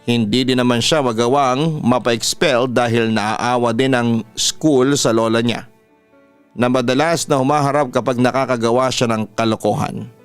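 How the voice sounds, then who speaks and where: Smooth Filipino male storyteller over music. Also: mid-range voice, fairly even intonation, normal speed. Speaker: radio announcer and story host. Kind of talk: scripted first-person story narration. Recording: music playing behind the voice. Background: background music.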